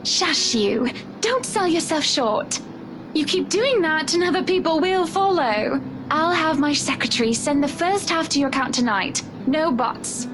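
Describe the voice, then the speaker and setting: Very high-voiced doting rich lady. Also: expressive, measured pace, noisy background. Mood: firm, affectionate. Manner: doting, teasing, commanding. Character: affectionate, assertive wealthy lady art patron. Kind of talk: visual novel voice acting. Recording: music behind the voice.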